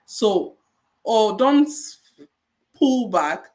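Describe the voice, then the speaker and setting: A Nigerian-accented woman, a registered nurse, giving measured, encouraging advice in a podcast interview and repeating her key points. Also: a little high, expressive, darkish tone.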